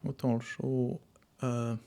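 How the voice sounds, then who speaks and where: A man, a software engineer thinking aloud while he codes, halting and often dropping into muttered whispers. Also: mid-range voice, level tone, creaky. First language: Serbian.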